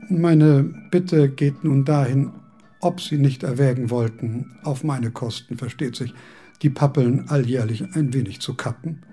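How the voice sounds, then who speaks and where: An actor playing an aging, fastidious male philosopher in a radio drama, speaking with formal old-fashioned courtesy. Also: medium pitch, creaky, dark tone, husky.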